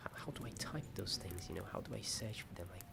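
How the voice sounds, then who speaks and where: A man whispering a hesitant, rambling ASMR chat in a soft, often breath-only voice. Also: low-pitched, expressive, fast talker, gravelly. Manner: hesitant, rambling, self-deprecating. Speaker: ASMR video host. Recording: strong ambient noise behind whisper. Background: added ambience sound.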